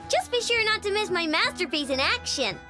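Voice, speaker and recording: A woman's voice: very high-pitched, sing-song, moderate pace, music in the background. A woman voicing a cocky, self-important young girl inventor in an English video game, childlike and breezy. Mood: proud, breezy. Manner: boastful, breezy.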